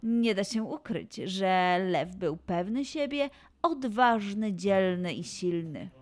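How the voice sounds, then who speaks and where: Slow expressive woman storyteller. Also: mid-range voice, dry recording. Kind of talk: children's story narration. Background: soft background music.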